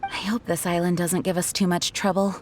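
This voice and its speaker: A woman's voice: fairly low pitch, level tone, fairly quick, heavy background noise, lightly acted. An actress playing a dutiful young female knight leader in an anime-style game, speaking formally and carefully, with earnest composure. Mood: serious, protective. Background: soft game music.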